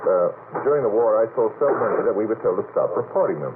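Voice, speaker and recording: A man with a lowish, very animated voice argues as a guest on a late-night radio panel. He speaks emphatically, breaking off to restate his point. The old recording is narrow and phone-like, with some noise. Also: fast talker. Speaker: guest researcher of unexplained sky sightings. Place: radio studio.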